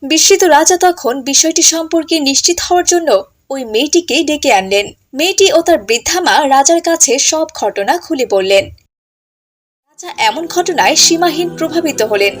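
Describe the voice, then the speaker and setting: A woman's voice: high voice, expressive, normal speed, music in the background. A woman giving scripted storybook narration as the narrator of a tale.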